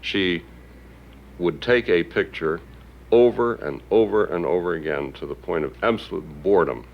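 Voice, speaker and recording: A man recalling a colleague in a documentary interview, with deliberate, emphatic phrasing. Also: low-pitched, vocal fry, highs cut off. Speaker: magazine journalist.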